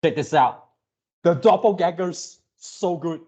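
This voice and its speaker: A man hosting a casual fan reaction video, gushing excitably in halting, drawn-out phrases. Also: on the high side.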